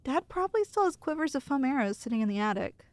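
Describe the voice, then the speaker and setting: A woman's voice: high voice, sing-song, quick pace, a little mellow, dry recording. A woman playing an affectionate, teasing girlfriend who soothes a nervous partner in an intimate ASMR roleplay. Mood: warmly amused. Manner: tender, playful, teasing, reassuring.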